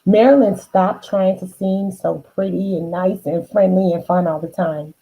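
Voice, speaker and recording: A woman reading a children's storybook aloud, unhurried, with dramatic emphasis and chatty asides to the listener. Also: lowish voice, fairly even intonation, warm tone, breathy edge, low-quality recording.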